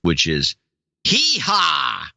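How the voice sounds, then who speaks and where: A man's voice: mid-range voice, huge pitch swings, very slow, vocal fry, pushed and bright, dry recording. A man co-hosting a talk podcast, reading listener notes aloud with dry humor. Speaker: podcast co-host. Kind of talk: casual chat, reading donor notes.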